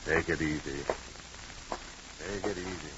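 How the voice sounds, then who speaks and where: An actor playing a paternal, wry company doctor in a 1940s radio drama, soothing but firm. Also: male voice, very deep voice, unhurried. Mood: calm, probing. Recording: muffled old radio recording, faint hiss.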